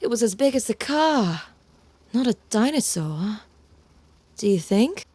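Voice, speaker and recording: An actress playing a cautious, practical woman park staffer in an audio drama, measured and a little hesitant. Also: fairly high pitch, big pitch swings, darkish tone, slightly rough, no echo, exaggerated voice. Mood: unsettled.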